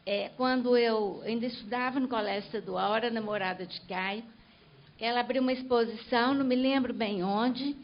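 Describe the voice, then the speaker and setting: A woman from the audience speaking Brazilian Portuguese, fondly sharing a memory about the speaker. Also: a little high. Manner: fond, recounting a memory. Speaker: audience member. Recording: muffled lo-fi recording.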